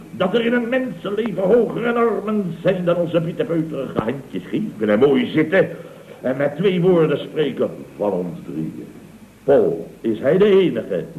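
Swooping, blustering company boss. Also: male voice, mid-range voice. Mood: pompous. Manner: theatrical, wheedling, rambling. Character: scheming, blustering, self-pitying male boss of a building firm. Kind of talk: radio comedy drama acting. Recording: dull old radio recording with hiss.

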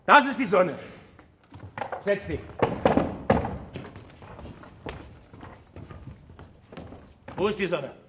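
A man's voice: high voice, big pitch swings, normal speed. A man playing an exuberant, impatient scholar and teacher, declaiming emphatically in a classic radio play. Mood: impatient, mocking. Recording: roomy sound with faint noise.

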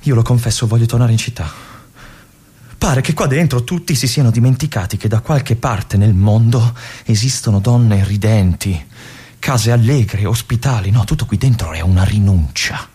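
Man as young army lieutenant, uneasy and confiding, low husky voice, stage monologue feel. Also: mellow. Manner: confiding, brooding, halting asides. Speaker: stage actor in a radio monologue. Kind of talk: theatrical monologue.

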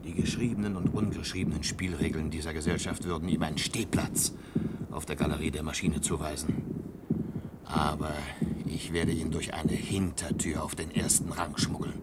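A man's voice: rumbling low, fairly even intonation, normal speed. A man acting a proud, defiant inventor in a German science-fiction radio play, lecturing in a pedantic, impassioned way. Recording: very noisy old radio play recording.

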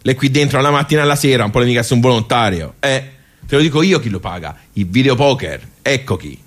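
A man's voice: mid-range voice, animated, quick pace, close to an everyday voice. A man acting a radio monologue as a wry, rueful, talkative social club regular, with a Tuscan accent and a rambling, colloquial way of asking and answering himself. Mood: rueful, indignant. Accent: Tuscan Italian.

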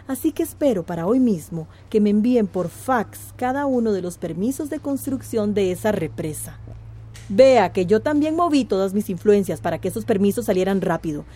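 An actress playing a brisk, self-serving small-town mayor in a radio drama, with a commanding, businesslike manner. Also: female voice, mid-range voice, lively intonation, mellow, husky. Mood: stern, impatient.

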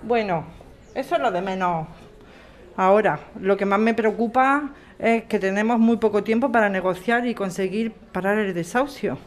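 A woman's voice: mid-range voice, normal speed, natural voice. A woman acts out a neighbour in a radio drama. She is worried and urgent, speaking in a mid-range female voice.

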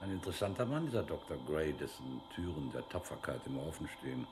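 An actor playing a sly, bullying military interrogator in a radio drama, mock-friendly and then sharply commanding. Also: male voice, a bass voice, big pitch swings, fast, background noise. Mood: sardonic.

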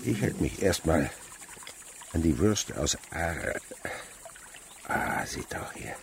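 Genial old scientist guy in a radio play, creaky voice that moves around a lot, bit of outdoor noise. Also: rumbling low, animated, slow pace, exaggerated voice. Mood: savoring. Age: older. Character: aging male insect researcher, genial, fond of food, leading a hike. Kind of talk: German radio play acting.

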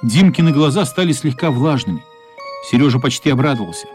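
A man's voice: mid-range voice, animated, brisk, a little mellow, faint music behind. A man narrating a radio play as dramatic scripted storytelling, expressively voicing the characters' thoughts.

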